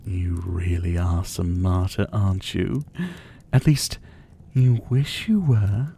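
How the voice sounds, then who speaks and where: Man playing a dark-voiced, drawling vampire captor. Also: sits low, a little hiss or hum. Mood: probing, mocking. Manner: slow, drawling, mockingly polite, with breathy chuckles. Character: menacing, teasing male vampire captor, silver-haired, about seventy. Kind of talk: intimate ASMR roleplay monologue.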